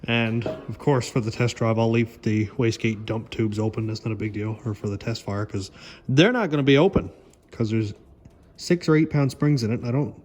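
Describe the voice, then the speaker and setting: A man's voice: mid-range voice, moderate pace, darkish tone, reverberant. A man chatting casually as he hosts a DIY car build vlog, thinking aloud and muttering to himself as he works.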